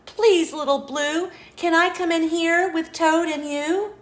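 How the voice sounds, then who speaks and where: A woman's voice: extremely high pitch, steady tone, takes it slowly, roomy sound, voice pushed up. A woman voicing a polite, timid storybook goat who tries to sound brave. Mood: pleading.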